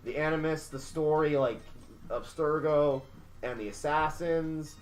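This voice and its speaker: A man with a slightly higher-pitched voice talks casually as a podcast co-host. He stops and restarts phrases while working out his thoughts. Faint music plays behind him. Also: low-quality recording. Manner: halting, repeats phrases as he works out ideas. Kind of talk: casual group chat about video games.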